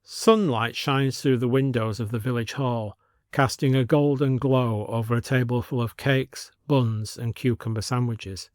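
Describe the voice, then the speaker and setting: A man narrating a scripted audio story in a measured, descriptive manner. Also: medium pitch, fairly even intonation, dry recording, clean studio sound.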